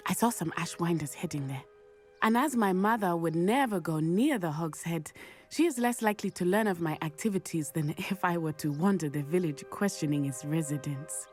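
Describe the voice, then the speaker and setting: Purposeful, lowish-voiced young heroine played by a woman. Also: normal speed, a little mellow, faint music behind, lightly acted. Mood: resolute. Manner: formal, purposeful, precise. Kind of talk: video game voice acting.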